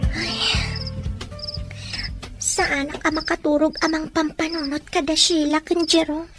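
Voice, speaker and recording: An actress playing an insistent, lovestruck young student in a serial audio drama. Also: female voice, sits high, level tone, measured pace, music in the background. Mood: pining. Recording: clearly noisy with clicks.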